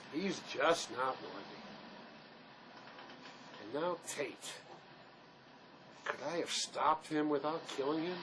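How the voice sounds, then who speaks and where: A man acts out a brooding convict on the run, tormented by guilt. His somewhat high voice moves restlessly up and down as he questions himself. The naturalistic drama is heard through clear location noise. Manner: searching, self-questioning, halting. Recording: noisy location sound with steady ambient rumble.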